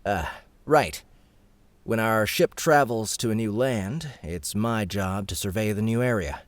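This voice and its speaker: A male narrator voicing an eager, formal naval petty officer who talks in a brisk, proper, slightly flustered way. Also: on the low side, no echo, close to an everyday voice. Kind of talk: audiobook character voice.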